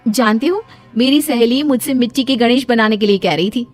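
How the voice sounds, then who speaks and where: High-pitched young daughter-in-law, played by a woman. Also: fairly quick, soft background music, natural voice. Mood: reluctant. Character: young devout daughter-in-law, dutiful but reluctant worker.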